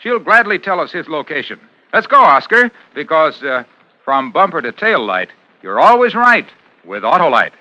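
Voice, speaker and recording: An actor playing a chipper, salesy doctor in a 1950s radio commercial, with punchy, emphatic patter. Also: male voice, fairly high pitch, very animated, moderate pace, sharp tone, exaggerated voice. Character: chipper, salesy doctor character, an advertising pitchman. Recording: dull 1950s radio recording.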